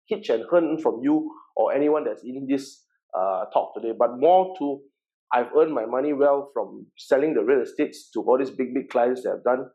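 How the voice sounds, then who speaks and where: A Malaysian-accented man in his forties talks a workshop audience through his background at a middle pitch. He is chatty and loose, repeating words and tossing in "okay" checks. The sound is a little dull and lo-fi, like an online session. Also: warm tone. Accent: Malaysian English. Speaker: workshop presenter and real estate agency founder. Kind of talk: informal seminar presentation.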